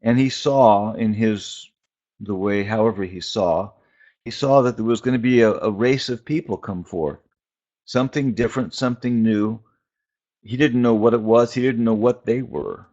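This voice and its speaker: A man giving an informal, reflective sermon in short, halting phrases with long pauses between them. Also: lowish voice, darkish tone. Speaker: preacher and spiritual teacher. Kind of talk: informal prophetic sermon on a conference call. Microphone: phone conference call. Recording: dull, band-limited conference call audio.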